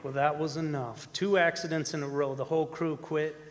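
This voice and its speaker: An actor playing a haunted, defensive oil rig worker in a live radio drama, telling his eerie tale in a folksy, halting way. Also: on the high side, fairly even intonation, natural voice. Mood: hushed, eerie. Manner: folksy, halting, repeating words for suspense. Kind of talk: live radio drama acting, first-person horror narration. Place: performing arts center stage. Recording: stage performance in reverberant hall, music behind.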